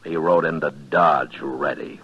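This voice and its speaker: A dull vintage radio recording carries a stern, weary American frontier marshal. His voice is very low and husky. He speaks bluntly and deliberately, with a hard edge, in old radio western style. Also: steady tone, a bit bright, full character voice. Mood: exasperated. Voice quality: husky, deep. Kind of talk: 1950s radio western acting.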